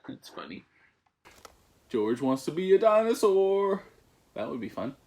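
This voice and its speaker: Slow, animated man reading to children. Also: a little high. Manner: expressive, simple commentary for young listeners. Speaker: teacher reading aloud. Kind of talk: children's storybook read-aloud with asides.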